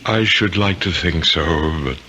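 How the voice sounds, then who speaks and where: A pompous old English ghost declaims grandly and archaically at a slow, deliberate pace. He is blustering and melodramatic, in seventies radio drama style, under clear background noise of an old broadcast. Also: low-pitched, lively intonation, sharp tone, heavily acted. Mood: wistful, tender. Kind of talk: 1970s radio drama acting.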